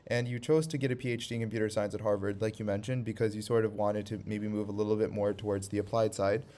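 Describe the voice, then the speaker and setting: A man's voice: lowish voice, level tone, quick pace, mellow. A man hosting a podcast, asking questions in a casual interview style and often murmuring along while the guest talks. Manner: frequent backchannel listening sounds. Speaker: podcast host.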